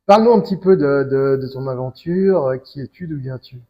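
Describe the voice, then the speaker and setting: A man's voice: mid-range voice, fairly quick. A man hosting a casual, friendly interview, encouraging his guest with short affirmations, recorded with a slightly muffled sound. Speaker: interviewer, channel host. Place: hotel smoking lounge.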